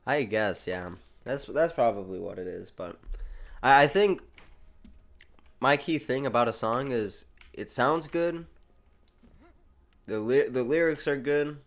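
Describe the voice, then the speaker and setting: A man's voice: mid-range voice, lively intonation, measured pace, pauses often. A young American man chatting loosely on a live gameplay stream, rambling in slang with lots of restarts while reading chat. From United States. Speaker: livestreamer.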